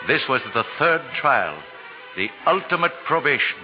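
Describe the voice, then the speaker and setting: A man narrating a 1940s radio drama in a solemn, theatrical, measured way with dramatic pauses. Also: on the high side, big pitch swings. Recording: muffled 1940s radio recording. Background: music.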